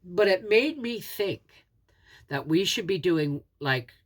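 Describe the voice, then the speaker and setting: A woman's voice: on the low side, expressive, slow pace. A middle-aged woman with a head cold and a scratchy throat, a homesteading host chatting earnestly to camera. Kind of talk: casual talk to camera.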